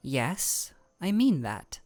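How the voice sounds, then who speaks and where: A woman performs a cool, reserved ice royal with a low voice. The speech is formal and measured, with a deadpan, regal detachment, in the style of a fantasy ASMR roleplay. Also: faint music behind, full character voice. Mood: cool, matter-of-fact.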